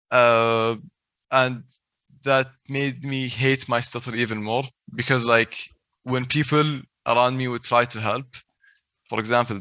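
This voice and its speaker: A young man with a heavy stutter gives a personal talk and repeats the first sounds of many words. His voice is bright and forceful. The recording is dry and a little dull. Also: mid-range voice, no echo. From Saudi Arabia. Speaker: speaker at a stuttering event.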